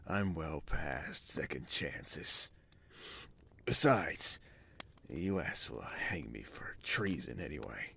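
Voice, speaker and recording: Gravelly, wounded traitor soldier. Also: male voice, very deep voice, very animated, takes it slowly, pushed and bright, slight background noise, exaggerated voice. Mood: agonised, defeated. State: in pain. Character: bitter, defeated male traitor soldier, badly wounded. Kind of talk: radio drama acting.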